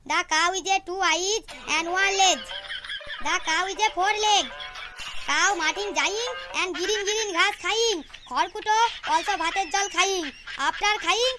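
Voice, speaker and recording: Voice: very high-pitched, background noise. A performer voicing a whiny, mischievous schoolboy in a comedy cartoon, with mock innocence.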